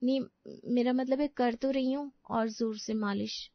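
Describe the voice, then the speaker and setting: A woman's voice: on the high side, fairly even intonation, a little slow, no echo, lo-fi sound. A woman voicing an outspoken young daughter-in-law, teasing and sarcastic, in a cartoon story. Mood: feigned innocence. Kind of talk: cartoon moral story voice acting.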